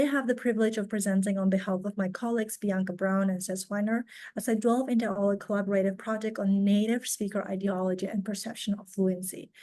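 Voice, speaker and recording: Young woman from a post-soviet country reading a conference talk, mellow voice, formal and measured. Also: mid-range voice. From post-Soviet region. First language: not English; also speaks Russian. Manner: formal, measured, carefully read. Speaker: PhD student presenter. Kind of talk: read conference presentation.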